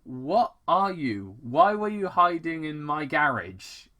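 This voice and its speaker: A man reads as a reassuring dad in a story, mild and puzzled. His voice is bright, with animated questions in an everyday delivery. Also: a little high, slow pace, voice pushed up, full character voice. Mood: bemused. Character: reassuring, bemused father in a fan fiction.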